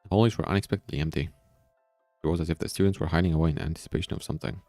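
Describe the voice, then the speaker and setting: A man's voice: deep voice, rapid-fire, a little mellow, dry recording. A man reading a visual novel aloud as a streamer, with casual asides, in a somewhat strained, tired voice. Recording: background music.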